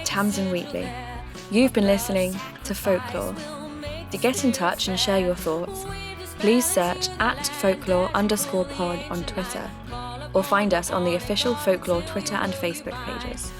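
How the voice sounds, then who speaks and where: A woman playing a sceptical, intrigued local radio host who investigates ghost stories in measured, scripted podcast narration. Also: mid-range voice, lightly acted. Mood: inviting, presenting. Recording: music bed under voice.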